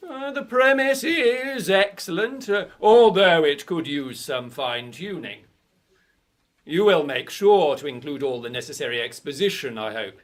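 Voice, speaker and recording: An actor playing a teasing, eager young literary gentleman in a live radio drama, theatrical and hesitant. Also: on the high side, expressive, a little slow. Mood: eager, critical.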